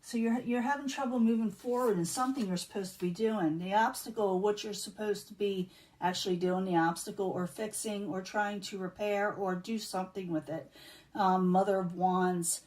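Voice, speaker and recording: Woman, tarot reader, hoarse, throat-clearing, chatty, um fillers, distant mic. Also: mid-range voice, fairly even intonation, normal speed, a little mellow. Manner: chatty, repeats herself, many um fillers. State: losing her voice, clearing throat. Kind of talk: casual tarot card reading.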